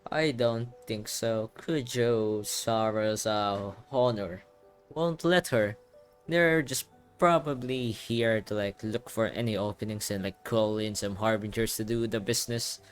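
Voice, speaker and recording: Low-voiced young man, offhand gaming commentary. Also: a little slow. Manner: slangy, offhand. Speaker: gamer, playthrough host. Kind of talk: casual gameplay commentary. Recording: game music behind.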